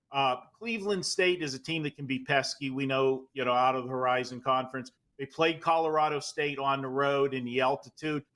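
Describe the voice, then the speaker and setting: Bright-voiced Pittsburgh handicapper man. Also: a little high. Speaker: sports handicapper guest. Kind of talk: casual betting analysis.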